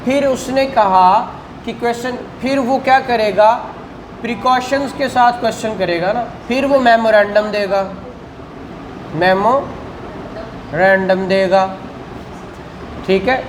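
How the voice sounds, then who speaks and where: A Pakistani man teaches law at a slow, deliberate pace, in a didactic style that checks in with questions and repeats key phrases. His voice echoes in the classroom, with clear noise behind it. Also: high voice. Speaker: law lecturer. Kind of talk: classroom lecture. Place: indoor classroom.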